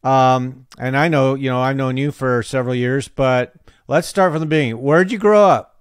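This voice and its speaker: Smooth-voiced upbeat podcast host. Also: male voice, medium pitch, normal speed, no room sound, clean studio sound. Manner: upbeat, jokey introductions, brief affirmations. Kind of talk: interview podcast hosting. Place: home studio. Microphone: studio mic on boom arm.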